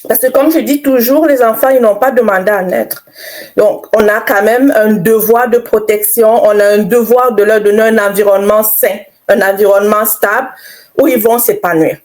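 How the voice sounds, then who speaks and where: A middle-aged woman from Cameroon giving personal testimony in an interview, halting and reflective, repeating phrases for emphasis. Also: mid-range voice, steady tone, darkish tone. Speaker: interview guest, author.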